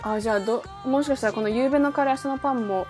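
A woman with a fairly high voice hosts a relaxed book podcast over music. She talks casually and chattily, often working out her thoughts aloud as she speaks. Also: racing. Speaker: podcast host; singer.

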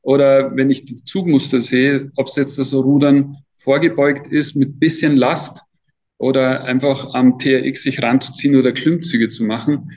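A man's voice: mid-range voice, little pitch movement, moderate pace, a little mellow. A man in his fifties speaking as a trainer being interviewed, in a casual, colloquial and emphatic way. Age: 50s. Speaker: athletic trainer and interview guest. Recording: dull, lo-fi audio.

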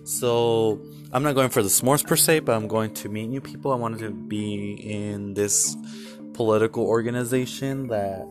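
A man's voice: fairly low pitch, fairly even intonation, moderate pace, music in the background. A man in his twenties talking candidly in a casual vlog, rambling with many fillers and restarts. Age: 20s. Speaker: vlogger.

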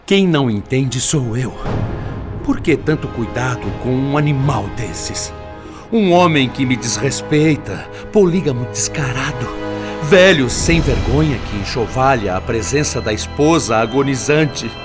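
A man's voice: mid-range voice, animated, measured pace, lightly acted. An actor playing a bitter, indignant father spirit in a radio drama, theatrical and lamenting in his scorn. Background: music.

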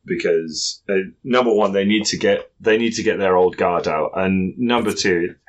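Male podcast host with a low voice, blunt and sweary, casual ranting banter. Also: normal speed, rough recording. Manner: blunt, foul-mouthed.

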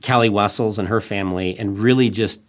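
A man's voice: on the low side, a little fast. A middle-aged man raised in Thailand, a guest on a podcast who answers reflectively with frequent restarts. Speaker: guest, nonprofit CEO. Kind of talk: podcast interview.

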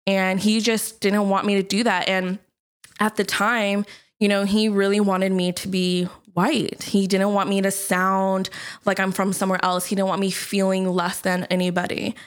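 A young woman from the United States co-hosts a podcast in an easygoing chat. She talks at a medium pitch, laughs often, and fills her sentences with "like" and "you know". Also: little pitch movement, moderate pace, smooth. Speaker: podcast co-host. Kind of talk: casual chat.

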